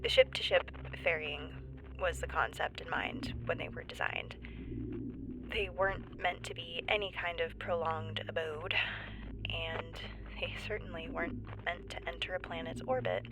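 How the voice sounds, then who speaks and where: A woman playing a nervous, wry stranded geologist in a sci-fi audio drama, halting and breathy, often near a whisper. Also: fairly low pitch, steady tone, background noise, close to an everyday voice. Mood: anxious, wry. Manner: halting, awkward, wryly understated. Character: stranded woman, nervous civilian geologist keeping an emergency log. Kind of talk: found-footage sci-fi audio drama monologue.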